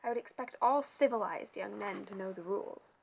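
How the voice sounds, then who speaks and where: A woman's voice: mid-range voice, brisk, creaky, a little hiss or hum, close to an everyday voice, low-quality recording. A woman reading an audiobook, voicing a pudgy, impatient and scornful male camp director who drawls his contempt.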